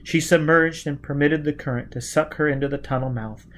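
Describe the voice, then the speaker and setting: A man reading an adventure story aloud as dramatic, deliberate, intense narration. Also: fairly high pitch, fairly even intonation, a bit bright. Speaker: audiobook narrator.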